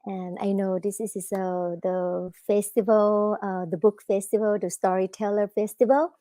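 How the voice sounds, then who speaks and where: A woman's voice: mid-range voice, steady tone, dark tone, dry recording. A woman, an author giving a live talk at a book festival, hesitant with many fillers. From Thailand. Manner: hesitant, many fillers, halting non-native English.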